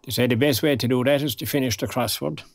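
A man's voice: medium pitch, fairly even intonation, fast, gravelly, no room sound. An actor with an Irish Cork accent playing a mild, dignified retired man in a naturalistic audio drama. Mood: mild, matter-of-fact. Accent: Irish, County Cork. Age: older.

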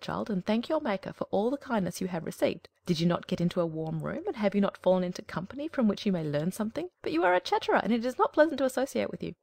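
A woman voicing a bossy, self-important hen who lectures in a shrill, scolding way. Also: creaky, darkish tone, dry recording.

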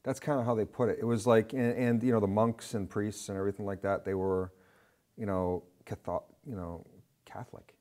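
A man's voice: on the low side, steady tone, a little fast, dark tone. A middle-aged man giving rambling, casual film commentary as a studio guest, on a lapel mic. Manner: rambling, full of "you know". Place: indoor studio set with curtains.

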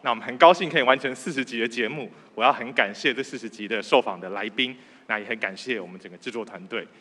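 A man's voice: mid-range voice, fast, pushed and bright. A man around forty with a Taiwanese Mandarin accent, a programme presenter giving an acceptance speech. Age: 30s-40s. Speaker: award-winning programme presenter. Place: large indoor theatre stage. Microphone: stand microphone, speaker masked. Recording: reverberant theatre stage sound.

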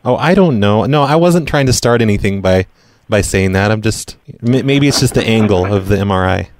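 A man making a casual prank phone call in a deadpan, hesitant manner, rambling and repeating himself as he goes. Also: fairly low pitch, fairly quick, slight background noise. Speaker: prank caller, show host.

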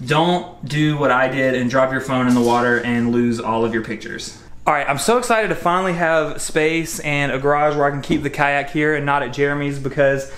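A man's voice: medium pitch, moderate pace, fairly bright tone. A man in his thirties, upbeat and chatty, reviewing gear in a casual home vlog, recorded on a close camera mic in his garage. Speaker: fishing vlogger.

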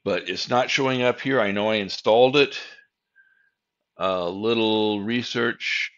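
A man's voice: fairly low pitch, unhurried, a bit bright, dull audio. An older man narrating a screen-recorded server tutorial, explaining each step in a plain, methodical way. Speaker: tech tutorial host.

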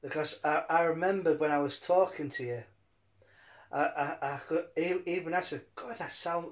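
A man chatting casually in a rambling, halting way, often repeating his words. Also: on the high side. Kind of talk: rambling casual phone chat.

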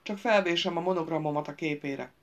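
A woman's voice: deep voice, lo-fi sound. A woman voicing a haughty young noble knight who speaks in a proud, threatening way in an audiobook.

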